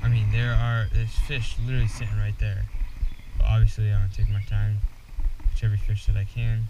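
A young man fly fishing on a wooded riverbank, talking excitedly to camera in casual play-by-play. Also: lowish voice, a little fast. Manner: excitable, rambling, talks while fishing. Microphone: head-mounted action camera. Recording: outdoor camera mic, distant voice, noisy.

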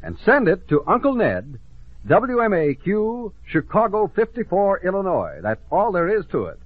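Lively American male announcer, muffled old radio. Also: sits high, moderate pace, no echo. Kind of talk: 1950s children's radio announcing. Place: radio studio.

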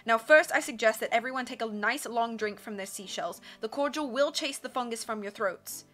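A woman's voice: fairly high pitch, fairly quick, a bit bright, faint music behind, lightly acted. A woman voicing a deceitful, insistent man who leads an island in a storybook. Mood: placating. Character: deceitful island leader, man, placating and accusing.

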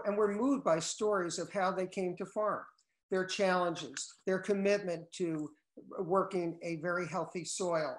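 An older man, a cookbook co-author, reading a prepared talk in measured tones over a video call from home. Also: high voice. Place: wood-paneled room at home. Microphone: laptop video call. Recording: echoey room on a video call.

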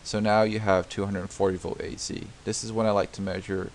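A man's voice: on the low side, little pitch movement, brisk, a little hiss or hum. A man narrating a home repair tutorial over a diagram, explaining step by step with many fillers and restarts. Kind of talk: unscripted screen-recorded tutorial narration.

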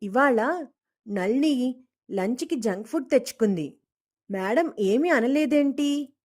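A woman's voice: high-pitched, expressive, takes it slowly, a little mellow, heavily acted. A woman storyteller voicing a gossipy, alarmed schoolgirl who tattles dramatically in a children's story. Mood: impatient, curious.

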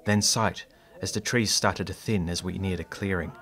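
A man playing an earnest adventurer, narrating his tale in a measured, descriptive audio drama style. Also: low-pitched, fairly even intonation, a bit bright. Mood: measured, suspenseful. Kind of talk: scripted first-person storytelling.

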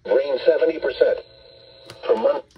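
A man's voice: a little high, sing-song, moderate pace, gravelly, lo-fi sound, noisy background. An automated American male voice reading a scripted weather forecast in clipped phrases through a radio speaker. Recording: weather radio speaker with loud background babble.

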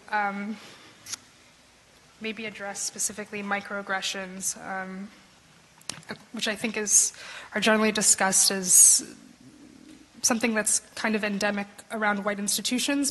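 A young woman at a podium in a big concert hall talks through her ideas, hesitant and full of ums. Her pitch stays flat and level. Long hall reverb hangs behind her voice. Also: a little hiss or hum. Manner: tentative, many ums, searching phrasing. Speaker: panel discussant. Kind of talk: thoughtful talk from notes at a podium. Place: large concert hall stage. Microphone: podium microphone.

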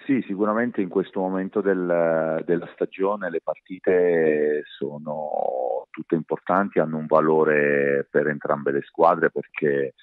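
A man giving measured, hesitant answers as a sports coach in a radio interview. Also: very deep voice, steady tone, no room sound, phone-quality sound. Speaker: basketball coach being interviewed.

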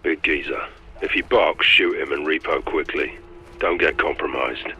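An actor playing a stern special forces lieutenant in a video game, terse and deadpan with dry humour. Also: male voice, rumbling low, normal speed, muffled recording. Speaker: military officer character. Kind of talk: video game radio dialogue.

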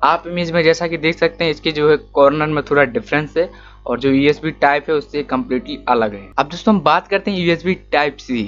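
A young man talks viewers through an electronics lesson in a voice-over. His voice sits somewhat high, and he explains each point patiently, calling his audience friends. The recording is muffled and lo-fi. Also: brisk, a bit bright. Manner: explanatory, often repeating "friends". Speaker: electronics teacher. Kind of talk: YouTube tutorial voice-over. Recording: dull lo-fi recording.

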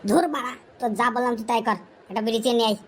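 A woman plays a whiny, demanding addict friend in a comic cartoon. The voice is high and races along, nagging and cursing in pleas for a smoke. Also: fairly even intonation, racing, a little hiss or hum, close to an everyday voice. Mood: demanding, irritated. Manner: foul-mouthed, demanding, pleading.

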